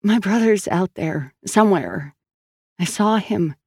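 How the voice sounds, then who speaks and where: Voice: medium pitch, lively intonation, slow pace, a little mellow, breathy edge, dry recording, clean studio sound, pitched above the performer's own voice, exaggerated voice. An audiobook narrator playing a tense, stubborn woman police officer who speaks insistently and with exasperation. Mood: urgent, stubborn. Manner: insistent, emphatic.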